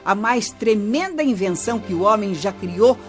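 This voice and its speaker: An actress plays a wise old grandmother with a very animated, swooping delivery. She patiently teaches her grandchildren in a children's radio drama, with music behind her. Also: mid-range voice, moderate pace, lightly acted. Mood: patient, instructive. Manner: didactic, expressive storytelling. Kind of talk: children's radio play acting.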